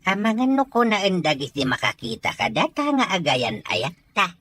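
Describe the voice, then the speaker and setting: A woman playing a teasing, hospitable older country man in an audio drama, with a drawn-out, coaxing delivery. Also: lowish voice, very animated, fairly bright tone, slightly rough, no echo, heavily acted. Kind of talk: Ilocano audio drama acting.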